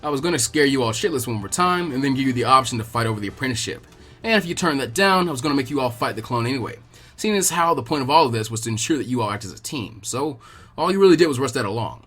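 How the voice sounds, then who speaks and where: A man voicing a gruff, teasing adult ninja teacher with a laid-back, cocky, crude way of talking. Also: medium pitch, lively intonation, fast talker, fairly bright tone, voice pushed down.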